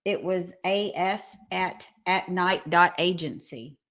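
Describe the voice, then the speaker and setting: A woman's voice: on the low side, slow, a bit bright. A woman on a show panel, speaking in casual, informal conversation. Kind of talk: casual chat.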